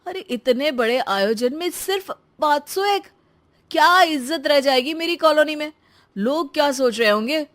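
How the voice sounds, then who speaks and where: Casting: woman as carefree big-spending young husband in a cartoon tale, boastful manner, higher-set voice. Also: animated, normal speed, fairly bright tone. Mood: indignant. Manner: boastful, breezy.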